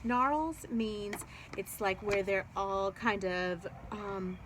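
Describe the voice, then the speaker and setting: A woman reading a children's book aloud, expressive and explanatory, as if speaking to young children. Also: medium pitch, extremely slow, background noise. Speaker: read-aloud storyteller. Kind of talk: children's book read-aloud with chatty asides.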